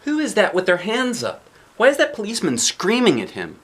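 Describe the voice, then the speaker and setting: A man's voice: high-pitched, huge pitch swings, brisk, a bit bright, pitched above the performer's own voice, full character voice. A man voicing an alarmed, questioning young girl while reading a picture book aloud.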